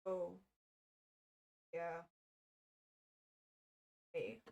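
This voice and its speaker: A woman livestreamer who reads aloud and talks casually, in an expressive, self-deprecating way. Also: on the low side, very slow, vocal fry, highs cut off. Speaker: livestreamer reading a book aloud.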